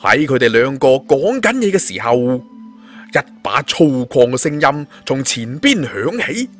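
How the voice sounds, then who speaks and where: Animated male Cantonese storyteller over music. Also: mid-range voice, measured pace. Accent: Hong Kong Cantonese. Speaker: audiobook narrator. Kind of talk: dramatic scripted storytelling of a suspense fantasy novel.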